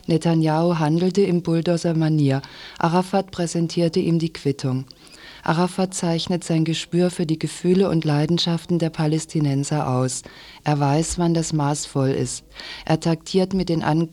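Dark-toned woman reading press commentary. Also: lowish voice. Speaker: radio reader of press commentary. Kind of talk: reading a newspaper commentary aloud.

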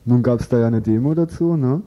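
A man hosting an unscripted radio interview, talking informally and a little haltingly as he presents and asks questions. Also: medium pitch, warm tone.

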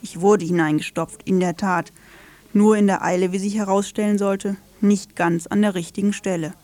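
Female radio presenter for scripted literary narration, measured storyteller, dry studio sound. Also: mid-range voice, fairly even intonation, warm tone, dry recording.

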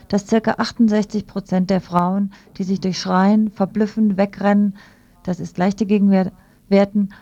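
A woman's voice: mid-range voice, mellow, no room sound, dull audio. A woman radio presenter reading scripted news items in a studio.

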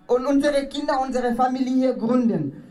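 A woman from Africa giving an impassioned rally speech in halting, non-native German. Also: sits high, warm tone. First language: not German. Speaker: speaker at a climate demonstration. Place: outdoors at a demonstration. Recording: outdoor rally with faint crowd.

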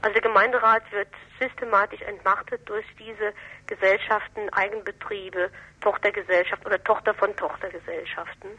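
A woman explaining local politics in a discussion, critical and emphatic, with halting phrasing. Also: mid-range voice, fairly even intonation, sharp tone, breathy edge. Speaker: political commentator on local council affairs. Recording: dull, lo-fi radio interview recording.

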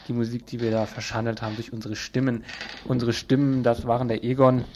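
A man's voice: mid-range voice, flat delivery, fairly quick, darkish tone, highs cut off, slight background noise. A man presenting event listings on local radio in an informal, colloquial way. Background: music playing underneath.

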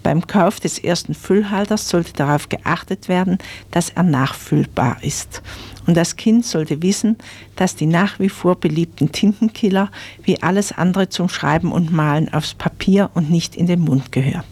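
A woman presenting advice on a radio magazine, explaining clearly and repeating details for listeners. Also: fairly low pitch, moderate pace, a little mellow.

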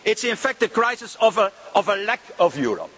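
A man's voice: high-pitched, sing-song, a little fast, fairly bright tone. A man making an impassioned political statement.

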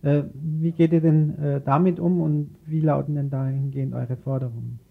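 A man hosting an informal radio interview, speaking haltingly as he searches for words. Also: a little high, steady tone, gravelly. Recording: dull, lo-fi radio recording.

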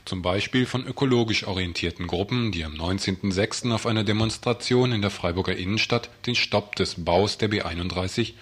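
A man with a husky voice reading a script as a radio co-presenter. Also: lowish voice, brisk, hardly any pauses, a bit bright, a little hiss or hum.

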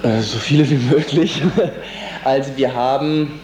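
A man gives a spontaneous interview as an organizer, feeling his way through sentences with frequent hesitations. His voice is dark and relaxed, at an average pitch. The room echoes around him and there is faint noise behind. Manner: halting, searching for words, many hesitations.

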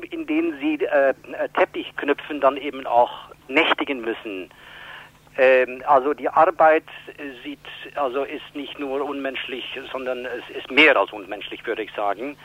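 Halting man on a dull phone line. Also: mid-range voice, dry recording. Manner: halting, hesitant phrasing. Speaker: children's aid organisation spokesman. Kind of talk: interview answers.

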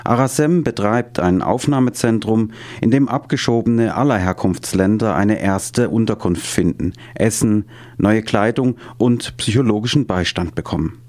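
A man's voice: fairly low pitch, moderate pace, few pauses, a little mellow. A man reading scripted narration and voice-over for a radio documentary.

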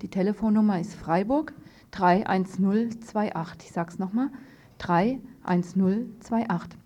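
A woman's voice: mid-range voice, a little slow, warm tone. A woman hosting an informal radio interview, phrasing her questions tentatively as if thinking aloud. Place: radio studio. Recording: dull lo-fi radio tape, noisy.

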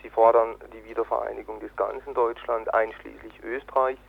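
A man's voice: lowish voice, level tone, creaky, slightly rough. Tinny phone voice, man. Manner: halting, broken phrasing. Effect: telephone. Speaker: political activist interviewee. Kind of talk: spontaneous phone interview. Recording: tinny band-limited phone line.

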